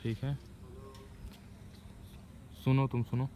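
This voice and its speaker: A man's voice: mid-range voice, flat delivery, takes it slowly. A man giving a casual hands-on tutorial as host of a DIY video, recorded on a phone. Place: open concrete rooftop outdoors. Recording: noisy outdoor recording with cawing crows. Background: loud outdoor ambience, crows cawing.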